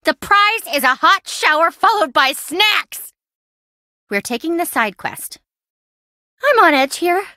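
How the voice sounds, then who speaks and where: A woman playing an insecure, excitable, boy-crazy young best friend in a video game, dramatic and expressive. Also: very high-pitched, takes it slowly, pauses often, dry recording, clean studio sound, exaggerated voice. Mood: edgy, demanding. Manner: dramatic, expressive, emotionally swinging.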